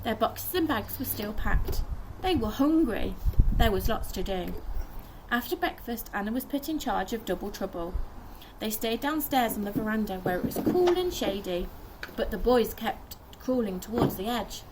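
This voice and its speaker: A woman's voice: a little high, moderate pace. A young woman reading a children's story aloud expressively, acting out the dialogue like a primary school teacher. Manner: animated, acts out dialogue. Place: outdoors in a garden by a wooden fence. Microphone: camera a short distance away. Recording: noisy outdoor recording with clicks.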